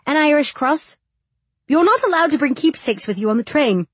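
A woman's voice: sits high, expressive, normal speed, fairly bright tone, no room sound, voice pushed up. A woman voicing a scowling, strict older matron in an audiobook, prim, clipped and critical. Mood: stern, suspicious. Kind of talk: audiobook character dialogue.

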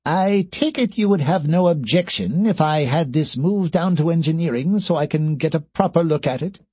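A man's voice: fairly high pitch, expressive, normal speed, a little mellow, slightly rough, no echo, voice pushed up. A man voicing an eager, polite male engineer character in a science fiction audiobook. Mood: polite request. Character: eager, busy male engineer examining alien machinery.

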